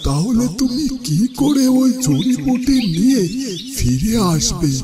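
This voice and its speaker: Solemn dervish mentor for fairy-tale cartoon, acted by a man: fairly high male voice, protective, deliberate warnings, music underneath. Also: exaggerated voice. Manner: cautioning, deliberate, repeats phrases. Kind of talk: dramatic Bengali cartoon voice acting.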